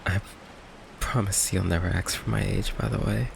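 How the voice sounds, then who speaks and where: A man playing a shy, affectionate vampire boyfriend in an intimate ASMR roleplay, speaking softly and haltingly with rain behind him. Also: lowish voice, fairly even intonation. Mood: tender, earnest. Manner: soft, hesitant, halting, breathy sighs and chuckles. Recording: faint noise, rain ambience behind. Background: rain ambience.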